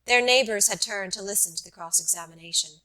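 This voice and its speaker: Bright-voiced woman narrating a literary audiobook. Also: mid-range voice, a little fast, rough recording.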